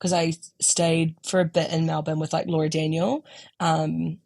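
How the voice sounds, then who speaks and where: Low husky New Zealand woman, chatty. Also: flat delivery, normal speed, darkish tone, dry recording. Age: young adult. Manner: chatty, rambling, filler-heavy, laughs often. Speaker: comedian guest. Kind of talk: casual podcast chat.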